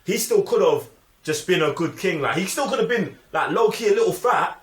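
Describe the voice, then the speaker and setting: Animated young London man, higher-pitched voice. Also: brisk. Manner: slangy, emphatic. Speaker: film review podcast host. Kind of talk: casual opinionated film chat. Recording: echoey room.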